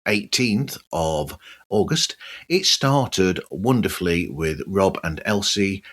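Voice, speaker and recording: A man hosting a podcast, reading a scripted news roundup in a measured, deliberate way. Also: fairly low pitch, fairly bright tone. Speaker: podcast host.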